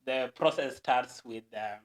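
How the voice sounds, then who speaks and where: A man's voice: mid-range voice, a little slow. Earnest Kenyan man explaining step by step. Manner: earnest, explanatory, with stammered restarts. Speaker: guest. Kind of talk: explaining a process in an interview.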